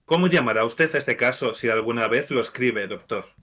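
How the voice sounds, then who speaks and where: A man's voice: medium pitch, fairly quick, sharp tone. A man playing an anxious political messenger who presses and insinuates. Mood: tense.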